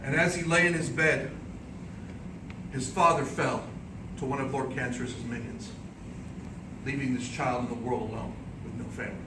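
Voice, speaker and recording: A man delivering a theatrical speech as an epic tale, with dramatic, declamatory and deliberate phrasing. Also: medium pitch, steady tone. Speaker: speech contest speaker. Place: indoor room before an audience. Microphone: distant microphone. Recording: roomy, distant microphone, clearly noisy background.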